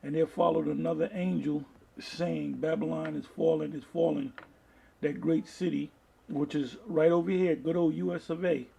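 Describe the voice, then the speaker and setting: A man preaching informally online, reading aloud and commenting in a halting way, often restarting his phrases. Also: on the high side, fairly even intonation, gravelly, warm tone, slight background noise, poor audio. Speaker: preacher reading articles and scripture to an online audience.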